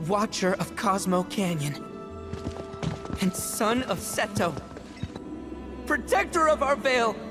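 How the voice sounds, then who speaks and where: A man's voice: high-pitched, sing-song, slow pace, pauses often, over music, full character voice. A man voicing a proud, earnest young male beast warrior in a video game, with a youthful delivery. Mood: proud, declaring.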